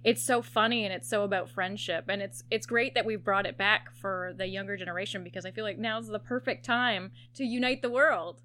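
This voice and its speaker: A woman's voice: medium pitch, a little fast, hardly any pauses, bright, forceful tone. A woman interviewing an actor, bubbly and enthusiastic, laughing often between remarks. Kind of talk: casual interview.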